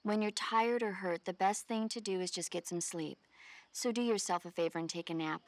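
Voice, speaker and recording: A woman playing a caring, gently scolding doctor who gives instructive advice in a video game. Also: medium pitch, normal speed, dry recording, lightly acted. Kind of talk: video game tutorial dialogue.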